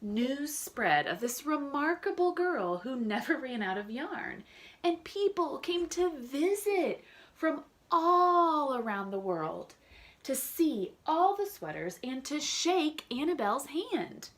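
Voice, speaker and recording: A woman's voice: high voice, big pitch swings, slow pace. A woman reading a children's story aloud with dramatic emphasis, adding casual comments for young listeners. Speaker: teacher reading a picture book aloud.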